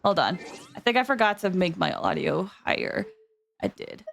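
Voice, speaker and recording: A woman's voice: on the low side, expressive, moderate pace, creaky. A woman hosting a game livestream, chatting casually and informally with viewers, often drifting into asides.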